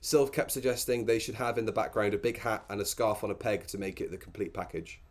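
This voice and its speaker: A man's voice: on the low side, fast. A man co-hosting a casual film commentary, with a sardonic, sweary way of talking.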